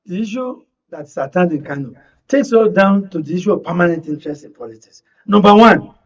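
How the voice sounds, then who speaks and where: A man's voice: sits high, animated, brisk, recorded from a distance, lo-fi sound. A man with a Nigerian accent debating politics as a studio guest, emphatic and rhetorical, often repeating phrases to press his point.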